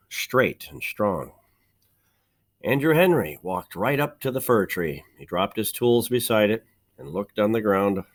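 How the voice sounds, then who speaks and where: Man reading a storybook with very lively intonation. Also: on the low side. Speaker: teacher reading a storybook aloud. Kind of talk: expressive children's storybook narration.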